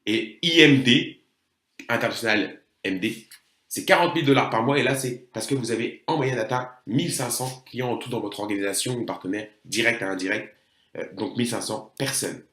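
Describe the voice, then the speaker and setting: A French man delivers a marketing presentation in a roomy, reverberant recording. His voice sits at an average pitch. He explains things step by step with salesy emphasis and checks in with rhetorical questions. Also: normal speed, a bit bright.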